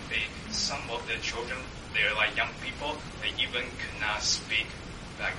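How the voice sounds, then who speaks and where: Man, halting church testimony. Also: lowish voice, little pitch movement. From Taiwan. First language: Mandarin. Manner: halting, with many fillers and tag questions. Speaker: church member sharing. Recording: echoey room, distant mic, background noise.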